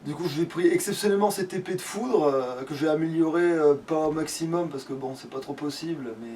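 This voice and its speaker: A man's voice: on the high side, brisk, a little mellow. A man giving casual, sweary, grumbling commentary as he plays a game. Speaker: let's play gamer. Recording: noisy room, distant microphone.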